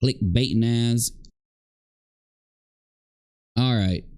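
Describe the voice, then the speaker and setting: A man's voice: mid-range voice, extremely slow, darkish tone. A man commenting live, reacting to clips and talking to his chat in a sarcastic, rambling way. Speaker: livestream commentator.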